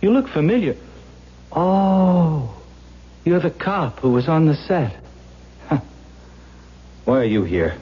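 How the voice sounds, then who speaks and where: Wronged prisoner, emphatic, sardonic edge, highly expressive, vintage radio drama with muffled sound. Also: male voice, takes it slowly, pauses often. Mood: wary. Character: bitter, desperate, wrongly convicted male actor in jail. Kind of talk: 1970s American radio drama acting. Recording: muffled old radio broadcast.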